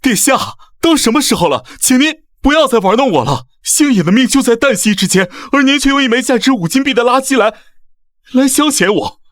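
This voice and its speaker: A man voicing an earnest, wounded young consort in an animated drama, formal and full of doubt. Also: extremely high pitch, brisk, dry recording, clean studio sound. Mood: hurt, indignant. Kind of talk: animated short-drama dubbing.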